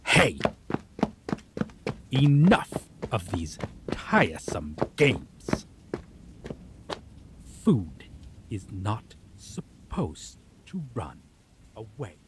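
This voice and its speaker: A man voicing a possessive, menacing male vampire in an ASMR roleplay, talking low and teasing and dropping to whispers. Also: huge pitch swings, drawn-out words, long pauses, noisy background, exaggerated voice. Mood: impatient.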